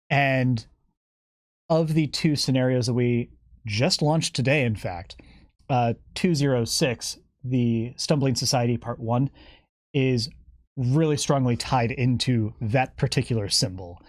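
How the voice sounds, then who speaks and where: A man's voice: mid-range voice, takes it slowly, no room sound. Wry man, dry close sound. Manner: wry, playful. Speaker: guest, game developer. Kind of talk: unscripted livestream chat explaining game lore.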